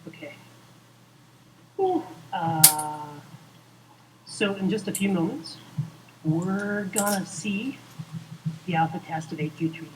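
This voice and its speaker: A man co-hosting a livestream in casual, deadpan, halting banter, recorded from a distance. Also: high-pitched. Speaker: co-host of a live game preview stream. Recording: distant mic, faint background noise.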